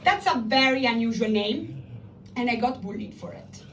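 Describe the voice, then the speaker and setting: A woman's voice: fairly high pitch, animated, normal speed. A young woman from Italy with an Italian accent, doing a chatty, profane open mic stand-up set in a small comedy club, talking straight to the crowd. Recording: loud crowd laughter, voice from a distance.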